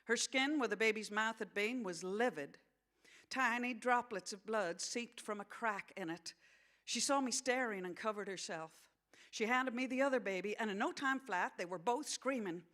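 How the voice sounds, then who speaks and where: A woman as a bright-voiced, bookish American farm girl. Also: a little high, moderate pace, pitched above the performer's own voice, close to an everyday voice. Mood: uneasy, vivid. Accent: attempted American. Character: bookish sixteen-year-old American farm girl narrating her story. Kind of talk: dramatised novel reading.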